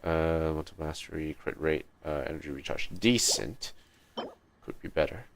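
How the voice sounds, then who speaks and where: A man from Malaysia giving low-key, muttered, casual commentary as he hosts a video game livestream. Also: moderate pace. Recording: clearly noisy mic with clicks.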